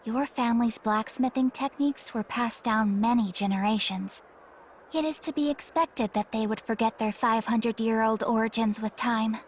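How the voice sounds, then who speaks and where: Composed, formal wise woman, slightly high, acted. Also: a little hiss or hum, close to an everyday voice. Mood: calm, formal. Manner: formal, measured phrasing. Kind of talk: video game voice acting.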